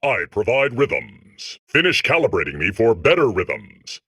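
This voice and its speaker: A man voicing a blissful, self-absorbed robot core in a comedic video game, with a gravelly rasp and languid, savouring phrases. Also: fairly low pitch, big pitch swings, unhurried, bright, forceful tone, no room sound, heavily acted. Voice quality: gravelly, raspy.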